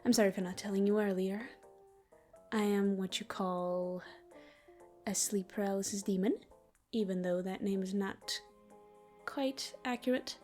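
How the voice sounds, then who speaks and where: Casting: woman as female demon for ASMR roleplay. Medium pitch, breathy near-whisper delivery, teasing but tender, over a music bed. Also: fairly even intonation, unhurried, a little mellow, close to an everyday voice. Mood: gentle, soothing. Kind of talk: intimate roleplay ASMR voice acting.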